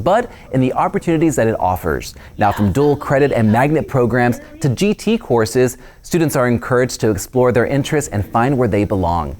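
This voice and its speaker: A man hosts a school district news update with a scripted, upbeat delivery. His voice sits in a middle range, and he sounds friendly and clear as he moves from one segment to the next. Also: medium pitch, darkish tone. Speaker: district news show host.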